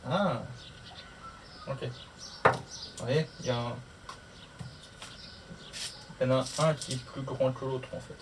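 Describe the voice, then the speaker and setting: Man, slow delivery, casual tutorial talk, muttering to himself, hands-on vlogger, clear background noise. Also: mid-range voice, fairly even intonation, far from the mic. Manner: muttering to himself while working through steps. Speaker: motorcycle vlogger.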